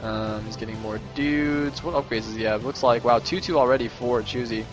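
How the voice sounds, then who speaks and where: A hoarse young man doing solo play-by-play commentary on a game, chatty and rambling, jumping into excited bursts. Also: mid-range voice, moderate pace. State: losing his voice, a little sick. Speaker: esports caster. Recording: music playing underneath.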